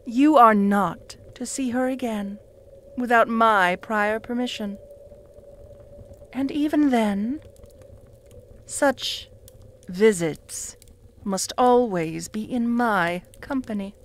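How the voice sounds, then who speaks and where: Woman playing a slow, whispering possessive vampire. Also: fairly high pitch. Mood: jealous, possessive. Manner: slow, purring, often dropping to a whisper; taunting, with soft mocking laughs. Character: possessive jealous female vampire, cruel, mocking. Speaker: voice actor in audio roleplay. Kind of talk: audio roleplay addressed to the listener.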